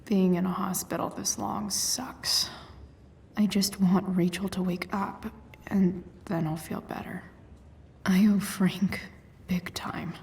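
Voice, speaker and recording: A woman playing a wry, sweary, guarded but caring teenage girl in a video game, muttering sardonically in breathy asides. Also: mid-range voice, level tone, slow pace, darkish tone, a little hiss or hum. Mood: worried. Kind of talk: naturalistic video game voice acting.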